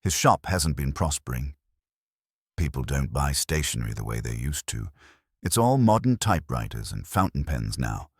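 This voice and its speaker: A man's voice: a bass voice, big pitch swings, moderate pace, slightly rough, no room sound, clean studio sound, exaggerated voice. A man reading the part of a prim, gossipy townswoman in a confiding, insinuating manner for a mystery story.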